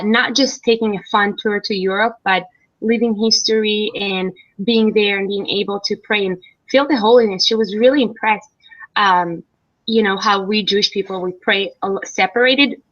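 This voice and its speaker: A woman's voice: mid-range voice, fairly bright tone. A young woman from Israel answering interview questions in a chatty way, with frequent ums. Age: young adult. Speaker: interview guest. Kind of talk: casual video-call interview. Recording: dull lo-fi sound.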